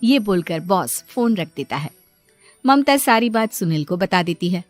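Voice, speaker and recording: A woman's voice: on the low side, lively intonation, measured pace, faint music behind. A woman narrating a scripted moral story as a storyteller.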